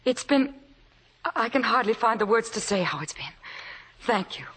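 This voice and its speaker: A woman's voice: on the high side, animated, normal speed, a bit bright, slight background noise. A woman playing a demure, deferential young admirer in 1950s radio acting, polite, earnest and hesitant. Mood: demure, grateful.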